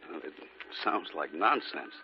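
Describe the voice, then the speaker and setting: An actor playing a skeptical husband who also narrates the tale, in an old radio play. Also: male voice, a bass voice, animated, heavily acted. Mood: dismissive. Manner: brisk, dismissive. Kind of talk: 1940s radio acting. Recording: muffled old radio broadcast with loud hiss.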